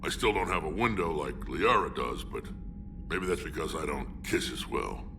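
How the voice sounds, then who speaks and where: An actor playing a gruff, battle-hardened alien warlord in a video game, proud and wry, with blunt delivery and a growling voice. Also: male voice, expressive, normal speed, recorded from a distance, background noise, full character voice. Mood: fond, wry. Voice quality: deep gravelly growl. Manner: blunt, sardonic, weighty delivery. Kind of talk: video game character acting.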